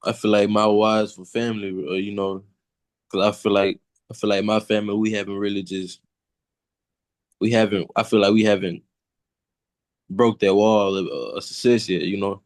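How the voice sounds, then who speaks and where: A man's voice: fairly low pitch, flat delivery, moderate pace, pauses often, no echo. A young football player on a video call who keeps repeating and restarting his phrases. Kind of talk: casual video call chat.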